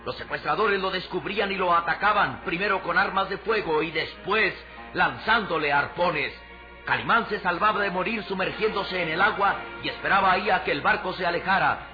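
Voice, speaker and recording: High-pitched male radio serial narrator over music. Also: brisk, pushed and bright. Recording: muffled old radio drama recording. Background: prominent music.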